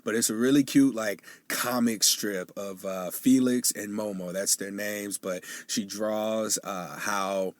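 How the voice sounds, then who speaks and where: A man with a fairly low voice hosts his own podcast, rambling casually through announcements and listener questions with plenty of ums. He sounds nasal and a bit sniffly, as if a cold is coming on. The recording is dry and close, with no room sound. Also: steady tone, unhurried, hardly any pauses, no echo. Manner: casual and chatty, with frequent fillers. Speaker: podcast host. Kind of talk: solo podcast monologue.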